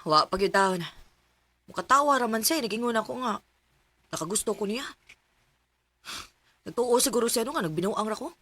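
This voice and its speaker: An earnest young Cebuano daughter. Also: female voice, a little high, lively intonation, normal speed, long pauses, a bit bright, dry recording. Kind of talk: radio drama acting.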